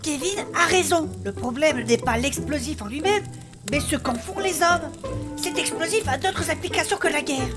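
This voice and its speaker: An actress playing an earnest, reasonable travelling companion in a comedic audio drama, explaining things plainly. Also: female voice, high voice, moderate pace, natural voice. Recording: music behind the voice.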